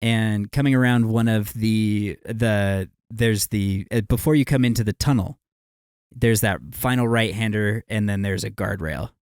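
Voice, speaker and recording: A man co-hosting a casual podcast, telling stories in a wry, animated way. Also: on the low side, a little slow, clear, resonant tone, dry recording, studio quality. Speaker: podcast co-host. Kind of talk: casual chat.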